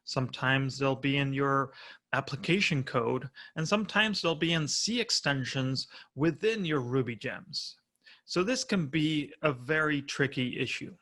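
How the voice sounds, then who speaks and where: A man's voice: medium pitch, a little slow, pushed and bright. A man in his thirties from Argentina with an Argentine Spanish accent, giving a friendly, explanatory prerecorded conference talk from home. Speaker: conference speaker.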